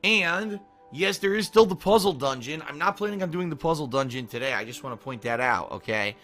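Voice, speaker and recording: A man with a hoarse, strained voice that still sounds bright and tense, talking casually to his stream audience. He rambles loosely, clears his throat often and keeps tagging on "okay?" as he talks. Faint music plays underneath. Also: fairly high pitch, expressive, fairly quick. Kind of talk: casual pre-stream schedule talk to chat.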